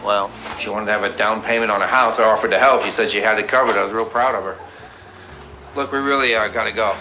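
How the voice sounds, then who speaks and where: An actor playing a nervous, grieving middle-aged army veteran father in an audio drama, halting and uncomfortable. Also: fairly low pitch, fairly even intonation, bright, forceful tone, a little hiss or hum. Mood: subdued, uneasy.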